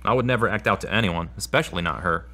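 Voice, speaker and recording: Male actor as troubled man in therapy, audio drama, lowish pitch, earnest, confessional, guilt-ridden, self-doubting. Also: quick pace, bright, forceful tone, full character voice. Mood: frustrated, uneasy. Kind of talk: scripted audio drama dialogue and spoken prayer.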